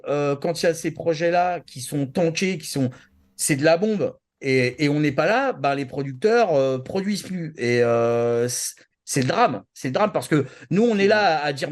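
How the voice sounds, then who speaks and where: A man speaks as a panelist in a casual, opinionated discussion. His pitch is slightly high for a man. He is colloquial and insistent, repeating words and phrases to drive his point home. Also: a little mellow. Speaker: show panelist.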